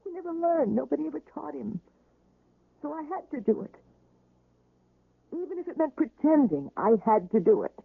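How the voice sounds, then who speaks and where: An actress playing a weary, despairing wife recovering from surgery, mournful and yearning, in a radio drama. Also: female voice, high voice, big pitch swings, normal speed, creaky, long pauses, narrow, tinny audio, full character voice. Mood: anguished, pleading. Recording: roomy, band-limited old radio recording.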